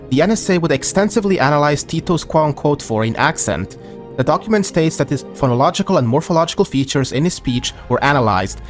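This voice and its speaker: Male narrator, slightly high voice, measured scripted read, soft music bed. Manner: measured, reads document quotes aloud.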